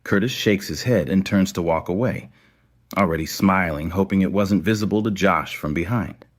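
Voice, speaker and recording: A man with a deep voice narrates an audio story. He reads prepared prose as the storyteller between lines of dialogue. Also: normal speed.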